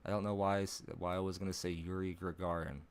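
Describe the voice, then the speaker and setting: A man chatting casually on a live stream from home, rambling and swearing, laughing at himself, with a low voice that breaks into odd higher notes. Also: flat delivery, fast talker, clear, resonant tone.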